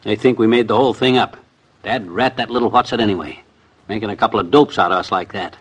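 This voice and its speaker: An actor playing a blustery, scheming middle-aged American husband, folksy and exclamatory, in a 1950s radio comedy. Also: male voice, fairly low pitch, quick pace, breathy edge. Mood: indignant.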